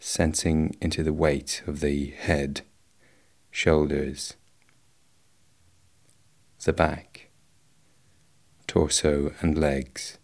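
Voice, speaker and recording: A man guiding a meditation in a slow, measured, instructional way, leaving long pauses between phrases. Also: rumbling low, little pitch movement, gravelly. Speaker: meditation guide. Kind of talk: guided body scan meditation.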